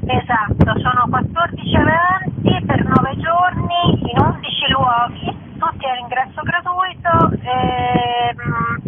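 A woman's voice: high-pitched, slow, hardly any pauses. A woman giving an informal interview as an event organiser, speaking a little hesitantly and searching for words. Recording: tinny phone line with clear background noise.

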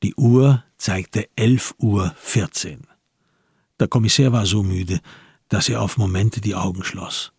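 Slow, husky, gravelly male narrator. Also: lowish voice, steady tone, a little mellow, dry recording, clean studio sound. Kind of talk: scripted literary narration for a radio crime drama.

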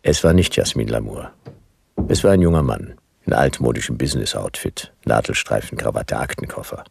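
A man's voice: extremely low pitch, brisk, darkish tone, heavily acted. A man playing a world-weary private detective in a noir radio drama, narrating in clipped, laconic, wry phrases. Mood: wry narration. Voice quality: gravelly.

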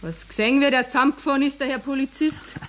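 A high-pitched, brash young Bavarian tavern woman. She is drunk and bitter, and taunts in coarse dialect in radio-play style. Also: fairly even intonation, quick pace, a bit bright, a little hiss or hum. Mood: mocking. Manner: brash, mocking, coarse-mouthed. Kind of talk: 1970s German radio play acting.

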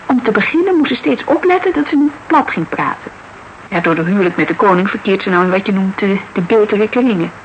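An actress playing a lively, shrewd young detective's associate in a Dutch radio crime drama, talking colloquially and emphatically, as if thinking aloud. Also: female voice, mid-range voice, fast talker, highs cut off, a little hiss or hum, close to an everyday voice. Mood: frank, musing.

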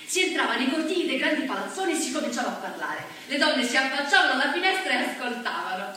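A woman narrating in a measured, storytelling manner during a stage show. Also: high-pitched, very animated, pushed and bright. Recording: echoey stage recording from far off.

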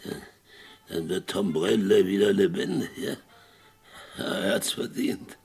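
An actor playing a boastful, haunted, whisky-drinking old former sheriff in his eighties, halting and dramatic, in a German western radio play. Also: deep voice, lively intonation, darkish tone, faint music behind, exaggerated voice. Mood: haunted, eager. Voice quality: gravelly. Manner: halting, repeating himself, dramatic.

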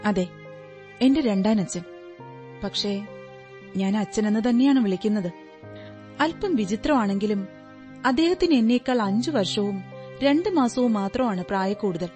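A woman's voice: medium pitch, expressive, moderate pace, long pauses, warm tone, natural voice. A woman playing an earnest, talkative, polite client who explains her troubles in detail in a radio drama. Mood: candid, explaining. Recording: radio drama with music behind. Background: music.

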